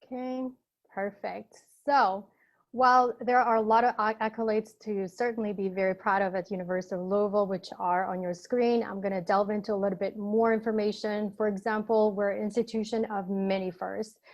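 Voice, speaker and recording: A woman's voice: medium pitch, flat delivery, fairly quick, highs cut off. Brief: woman, native Albanian speaker, admissions rep, upbeat promotional webinar delivery over a video call. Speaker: admissions counselor. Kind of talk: webinar presentation.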